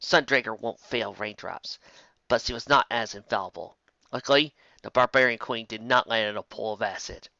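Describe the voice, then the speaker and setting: A man narrates an adventure story in a dramatic scripted style. His voice is mid-pitched with a bright, tense edge. The recording is very dry and slightly dull. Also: moderate pace, gravelly, no echo.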